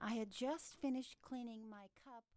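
A woman's voice: a little high, fairly even intonation, moderate pace, a little mellow, natural voice. An actress playing an older former switchboard operator who recalls her youth with emphasis, in a radio play interview. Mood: reflective.

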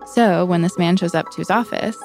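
Female reporter, lowish pitch with vocal fry, conversational narration. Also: a little fast, a little mellow, soft background music.

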